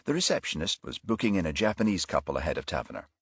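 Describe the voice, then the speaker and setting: A man's voice: low voice, very animated, fast, slightly rough, no echo, clean studio sound. A man reading a crime novel aloud as an audiobook narrator, in a scripted storytelling style.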